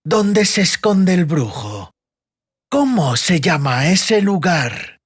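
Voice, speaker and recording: A man voicing a sadistic interrogator in an audiobook, coldly menacing and mocking, with a deliberate, sneering, threatening delivery. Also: high-pitched, very animated, breathy edge, full character voice. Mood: mocking menace.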